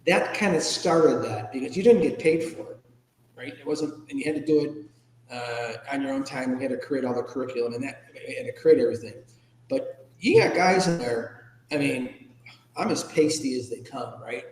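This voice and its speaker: An American man speaks as an interview guest in a candid, informal, reflective way. His pitch sits in the middle range for a man. The room around him is echoey, with a long reverberant tail. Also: animated, normal speed, a little hiss or hum. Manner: candid, informal, occasionally salty. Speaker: podcast guest, ethics trainer and public speaker. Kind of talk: reflective personal interview answers.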